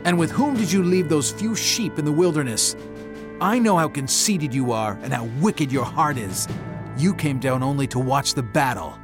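An actor playing an angry eldest brother, accusing and scornful, in a dramatized scripture audiobook. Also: male voice, a little high, lively intonation, normal speed, over music, natural voice.

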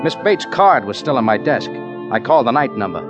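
A man's voice: mid-range voice, very animated, fairly quick. A man narrating a hardboiled radio drama in a laconic, wry manner with clipped sentences. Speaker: lead actor and narrator. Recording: dull 1940s radio recording with music.

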